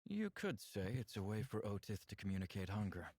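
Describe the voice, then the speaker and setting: A man voicing a calm, earnest male visual novel character who speaks in a measured, polite, formal way. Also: fairly low pitch, no room sound, clean studio sound, voice pushed down. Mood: formal, composed. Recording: music clearly behind the voice. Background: background music.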